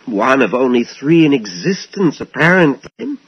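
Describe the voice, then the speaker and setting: A dull, lo-fi radio drama recording of a middle-aged dentist boss. His pitch swings widely as he talks, deliberate and courteous, with a controlling menace under the politeness. The voice has a slightly husky edge. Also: very animated. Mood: coolly sinister. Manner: smooth-talking, deliberate, polite with veiled menace. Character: genial, sinister male dentist boss, calmly controlling. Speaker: dentist and employer. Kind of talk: 1980s radio suspense drama acting.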